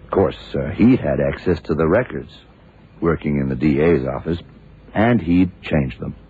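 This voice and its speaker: An actor playing a blind detective in a 1970s radio drama, a gruff, commanding mentor who explains things deliberately with authority. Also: male voice, extremely low pitch, lively intonation, heavily acted. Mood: explaining, deliberate. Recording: tinny, narrowband old radio recording.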